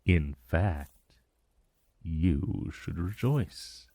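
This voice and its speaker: A man playing an ancient dragon in a romantic fantasy ASMR roleplay, possessive and menacing yet tender, with breathy, drawn-out, condescending endearments. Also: deep voice, lively intonation, mellow, dry recording, full character voice. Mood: smug, menacing.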